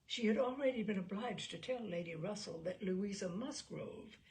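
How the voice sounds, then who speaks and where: A woman narrates classic literary fiction in a measured, expressive way. Her voice sits at a middling pitch, with plenty of gravelly fry running through it. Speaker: audiobook reader. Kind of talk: literary narration of a classic novel, voicing dialogue.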